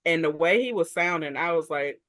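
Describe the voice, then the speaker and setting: A woman with a deep voice, a podcast co-host telling blunt, profane stories in casual group chat. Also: a little fast, fairly bright tone, dry recording.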